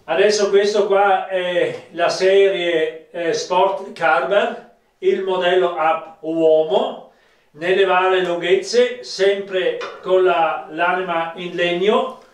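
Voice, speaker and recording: A man in his fifties with a South Tyrolean accent, presenting products in a halting, plain-spoken way, recorded from a distance in a roomy space. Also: high voice, steady tone. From South Tyrol, Italy. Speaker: ski maker presenting own products. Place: small wood-panelled rooms of an old farmhouse.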